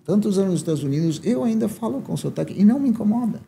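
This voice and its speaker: A man's voice: sits high, animated, racing, vocal fry, mellow, slight background noise. A man from Uruguay with a Uruguayan accent and a southern Brazilian lilt, telling his story as a guest in a casual conversation, with many tag questions. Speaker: guest, entrepreneur.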